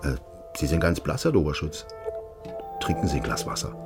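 An actor playing a courteous, insistent East German official in a radio drama, with a German accent and insinuating manner. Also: male voice, extremely low pitch, big pitch swings, music in the background. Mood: quietly menacing. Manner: courteous, insistent, deliberate phrasing with repeated direct address. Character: smooth, insinuating East German official offering a sinister bargain.

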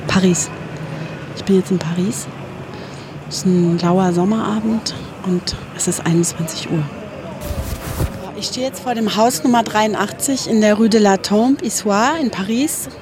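An actress playing a self-doubting radio journalist, talking to herself in a naturalistic, tentative way. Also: female voice, medium pitch, measured pace, noisy background, close to an everyday voice. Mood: doubtful, musing. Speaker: radio play protagonist, reporter. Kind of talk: naturalistic radio drama monologue.

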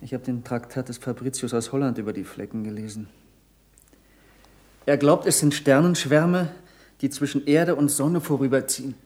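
A man's voice: medium pitch, fairly even intonation, moderate pace, a little mellow, natural voice. Earnest young scholar played by a man. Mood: eager. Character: Andrea, eager, earnest young male pupil of a scientist. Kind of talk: 1960s German radio drama acting.

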